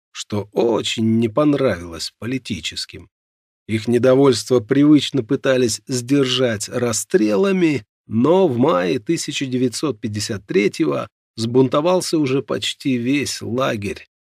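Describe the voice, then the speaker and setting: A man narrates a non-fiction audiobook in a mid-pitched voice with a gravelly, husky grain. His intonation moves expressively through measured storytelling phrases. The sound is close and dry, as on a studio microphone. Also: a little mellow, no room sound.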